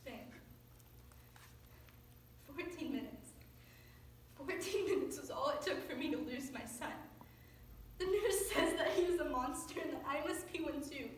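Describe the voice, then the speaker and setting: Young actress as a grieving mom on stage, high voice, really echoey room. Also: female voice, expressive, unhurried, long pauses. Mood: subdued, hurt. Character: grieving, embattled mother of a disgraced teenage son. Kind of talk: high school readers theater performance. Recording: very echoey room, distant mic, faint noise.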